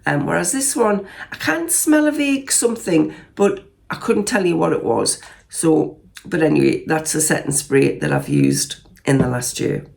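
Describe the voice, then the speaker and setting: A Geordie woman in her sixties, croaky from a cold, chatting casually through a makeup review vlog with lots of ums and restarts. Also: sits low, lively intonation, normal speed, darkish tone. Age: 60s. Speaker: beauty vlogger.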